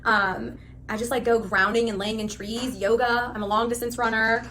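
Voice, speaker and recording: A woman in her thirties, originally from Pakistan, giving a spontaneous, rambling, animated self-introduction. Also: a little high, normal speed, crowd noise. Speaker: live stream host applicant.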